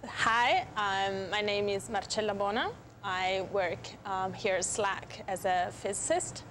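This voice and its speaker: An Italian-accented woman gives a short self-introduction at a medium pitch. There is faint noise in the recording. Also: steady tone, slow, a bit bright, long reverb tail. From Italy. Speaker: physicist.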